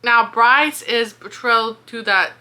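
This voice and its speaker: Bright, high-voiced young woman thinking aloud. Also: takes it slowly. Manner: animated, swearing, exasperated. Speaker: book reviewer reading along. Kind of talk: casual chat, thinking aloud.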